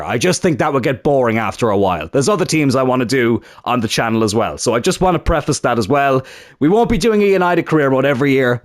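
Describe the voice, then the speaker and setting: A man's voice: mid-range voice, no echo, clean studio sound. A young man talking enthusiastically and casually to his channel's viewers about a football video game. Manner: enthusiastic and chatty. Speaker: gaming YouTuber.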